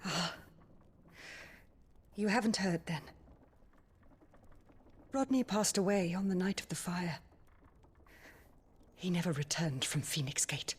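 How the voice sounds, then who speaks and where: A woman's voice: lowish voice, takes it slowly, pauses often, slightly rough. An actress playing a refined, emotional middle-aged noblewoman in a video game cutscene. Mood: wistful. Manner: refined, emotional, formal speech. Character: warm-hearted widowed noblewoman, middle-aged.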